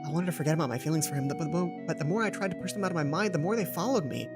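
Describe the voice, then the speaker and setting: A woman's voice: low voice, very fast, voice pushed down. A woman voicing a lovesick, self-doubting schoolgirl heroine in a game, telling her story in a dramatic, introspective way over music. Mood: wistful, troubled. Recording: music behind the voice.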